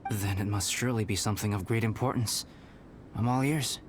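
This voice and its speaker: A man voicing a calm, scholarly young alchemist in a game, composed and precise with a dry wit. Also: lowish voice, brisk, noisy background, close to an everyday voice. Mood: wry. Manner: composed, precise, softly wry. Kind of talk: game cutscene dialogue.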